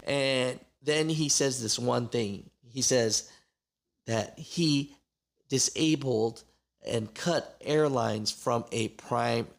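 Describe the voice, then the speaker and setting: A man's voice: mid-range voice, extremely slow, vocal fry. A man hosting a trucking channel, recapping a story in casual commentary with many fillers.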